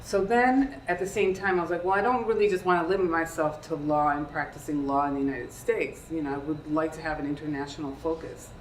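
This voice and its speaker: Low-voiced woman panelist in an echoey room. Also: fairly even intonation, a little fast, hardly any pauses. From Peru. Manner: conversational, asks the audience questions. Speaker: career panelist. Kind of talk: panel talk to students. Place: lecture room. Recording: echoey room, distant mic, faint hiss.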